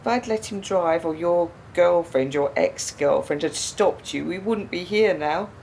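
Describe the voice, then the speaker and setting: Woman acting a low, gravelly, wounded confronter. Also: unhurried. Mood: bitter, pained. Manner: probing, pointed, echoing words back. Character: bereaved, wounded woman confronting a man, controlled bitterness. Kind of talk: naturalistic radio play dialogue. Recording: steady background noise, low rumble.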